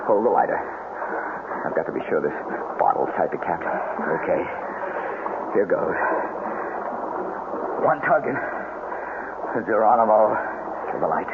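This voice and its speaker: An American actor playing a tense, protective, level-headed man trapped in a cave, deliberate and intense in 1970s radio drama style. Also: low-pitched, animated. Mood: urgent. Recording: very noisy, narrow-band old radio recording.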